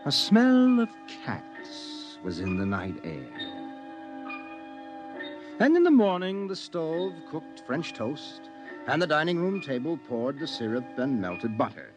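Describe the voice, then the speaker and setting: A man's voice: on the high side, sing-song, slow pace. A man narrating a 1950s radio drama as a theatrical, ominous storyteller. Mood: ominous storytelling. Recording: vintage radio recording with music behind.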